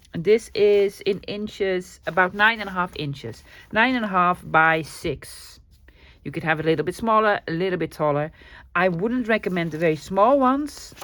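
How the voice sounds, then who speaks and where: A woman's voice: fairly low pitch, dry recording. A woman from the Netherlands with a Dutch accent, chatting her way through a craft tutorial with plenty of ums and restarts. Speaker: craft channel host.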